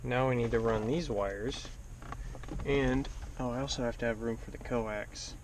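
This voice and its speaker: A man's voice: medium pitch, steady tone, a little slow, noisy background. A man giving a casual, plainspoken hands-on how-to, talking himself through each step as he works. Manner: plainspoken, muttering step by step while working. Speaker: DIY vehicle installation video host.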